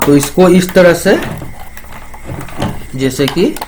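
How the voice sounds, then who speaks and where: A man's voice: high voice, animated, takes it slowly, noisy background. A man giving an informal technical demonstration, explaining step by step and repeating phrases. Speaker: presenter of a product explainer.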